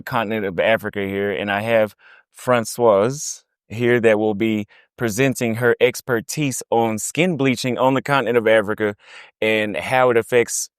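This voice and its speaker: Young male host, casual and loose, lowish voice, dry close recording. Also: clean tone, no echo. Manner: casual, relaxed, uses slang. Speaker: YouTube host. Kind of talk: casual interview hosting.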